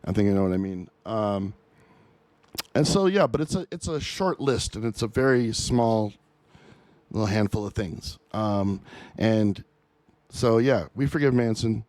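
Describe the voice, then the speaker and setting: A man in his forties hosting a music reaction video, talking off the cuff in an earnest, halting way with many fillers and restarts. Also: on the low side, lively intonation, darkish tone, no room sound. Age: 40s. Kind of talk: unscripted opinion monologue.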